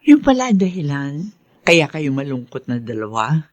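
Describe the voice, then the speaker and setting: A woman playing a gentle, long-suffering mother in a Filipino radio drama, tender and emotional. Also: sits low, sing-song, moderate pace, dry recording. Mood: sad, reassuring.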